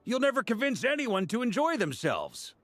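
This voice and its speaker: Breezy, very animated hearty man. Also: extremely high pitch, moderate pace, heavily acted. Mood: breezy encouragement. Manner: breezy, jovial, reassuring. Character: easygoing, hearty, upbeat adult male adventurer. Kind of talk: video game voice acting. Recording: music behind the voice.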